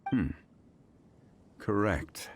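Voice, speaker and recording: A man voicing a composed, scholarly gentleman consultant in an English video game dub, formal and analytical in his reasoning. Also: no echo, faint music behind. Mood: pondering. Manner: formal, measured, deliberate, analytical.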